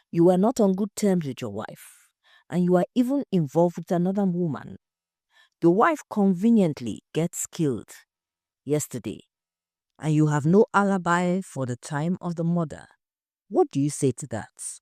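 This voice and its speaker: A woman voicing a sharp, probing female crime journalist in an audio story, questioning briskly and insistently. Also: lowish voice, expressive, dry recording, studio quality. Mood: pressing, accusing.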